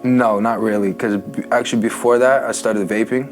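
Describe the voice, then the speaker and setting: Young man, 19, low monotone, casual halting testimony, music underneath. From Ukraine. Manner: halting, filler-heavy speech with many restarts. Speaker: interview guest sharing testimony.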